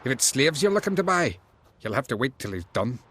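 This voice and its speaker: A man's voice: medium pitch, sing-song, a little fast, a bit bright. An actor playing a slaver's henchman, curt and guarded, in a fantasy video game. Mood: wary, curt. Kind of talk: video game dialogue acting.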